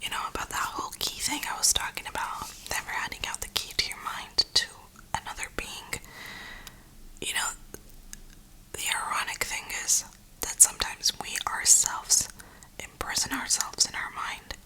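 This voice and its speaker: A woman host giving a slangy, reflective ASMR ramble in a breathy whisper. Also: bright, forceful tone, rough recording. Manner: slangy, reflective, unhurried pauses. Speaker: ASMR gaming video host.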